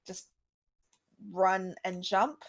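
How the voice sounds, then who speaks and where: A woman's voice: medium pitch, fairly even intonation, drawn-out words. A woman playing in a tabletop game, chatting casually and bantering playfully. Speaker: player.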